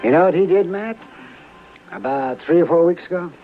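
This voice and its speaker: An actor playing a crusty, opinionated town doctor in an old radio western, testy and emphatic. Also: male voice, sits high, normal speed, faint music behind, natural voice. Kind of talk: 1950s radio western acting. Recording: muffled old radio recording, faint noise.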